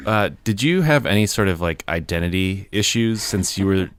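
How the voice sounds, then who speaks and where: A man hosting a music podcast, reading a scripted intro and then asking measured questions. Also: low-pitched, expressive, slight background noise. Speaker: podcast host. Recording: music bed under intro.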